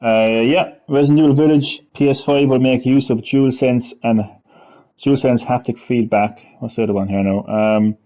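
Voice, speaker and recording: A man hosting a casual gaming stream, rambling, laughing often and reading web text aloud haltingly. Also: medium pitch, steady tone, highs cut off. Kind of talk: casual gameplay chat.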